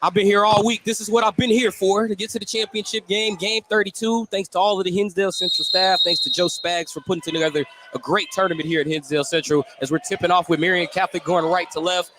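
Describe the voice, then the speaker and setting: A man calling a game as a play-by-play radio announcer, with a brisk announcer's delivery. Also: high voice, steady tone, background noise. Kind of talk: live sports radio commentary.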